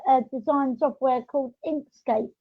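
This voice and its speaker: Female podcast host, very high voice, chatty and rambling, close dry sound on a lo-fi online call. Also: fairly even intonation, moderate pace, breathy edge, dry recording. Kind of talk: casual podcast chat. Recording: dull lo-fi online call audio.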